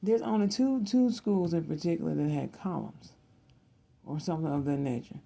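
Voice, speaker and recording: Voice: mid-range voice, normal speed, gravelly, dark tone. A person in their thirties, hosting a rambling, casual psychic video monologue, often circling back and repeating phrases. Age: 30s. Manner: repeats words and phrases, digressive. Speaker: tarot and psychic reading video host.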